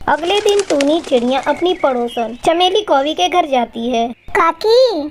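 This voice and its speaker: Very high-voiced woman story narrator. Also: expressive, moderate pace, darkish tone, a little hiss or hum. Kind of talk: scripted children's story narration. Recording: faint music behind.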